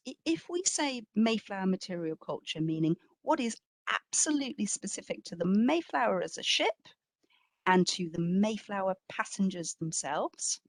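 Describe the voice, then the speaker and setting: A woman's voice: lowish voice, no echo. A woman speaking as an exhibition curator, answering informally and reflectively at a history talk, with conversational emphasis and frequent qualifications.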